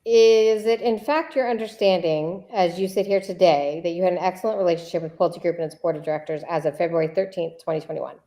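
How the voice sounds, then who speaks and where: A woman reading a deposition transcript as a persistent attorney who needles a witness and repeats questions deliberately. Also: on the low side, mellow, lightly acted. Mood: insistent, exasperated. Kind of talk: read-aloud deposition transcript.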